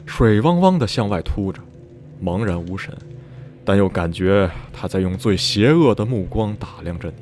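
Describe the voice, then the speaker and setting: A man playing an uneasy survivor of a sinister town, recounting dreadful memories in measured, literary Mandarin for a radio drama. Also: sits low, a little mellow, a little hiss or hum. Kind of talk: radio drama acting, narrating in interview. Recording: music behind the voice.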